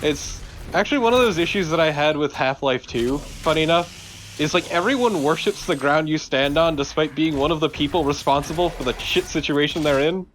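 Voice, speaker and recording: A man's voice: on the high side, fairly quick, fairly bright tone, slight background noise. A man co-commentating on a video game in a casual, dry, mock-serious way. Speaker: let's play co-commentator. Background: game sound.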